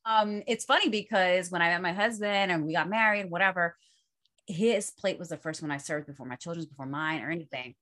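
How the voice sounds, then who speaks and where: A middle-aged woman with a lowish voice talks through her family's habits in a casual chat. She reflects aloud and brightens into lively storytelling. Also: fairly quick. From Puerto Rican and Dominican family roots. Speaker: co-host.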